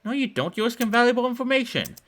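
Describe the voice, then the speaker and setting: A man voicing a young woman who is determined and quick to snap in exasperation. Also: high-pitched, wildly swooping pitch, breathy edge, pitched above the performer's own voice, full character voice. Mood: exasperated. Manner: sharp, exasperated, insistent.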